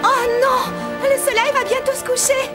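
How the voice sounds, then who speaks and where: Very high-pitched young princess. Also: female voice, level tone, normal speed, over music. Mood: alarmed, urgent. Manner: wide-eyed, exclaiming, earnest. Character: gentle young princess, earnest, devoted little sister. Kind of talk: French animated fairy tale dub.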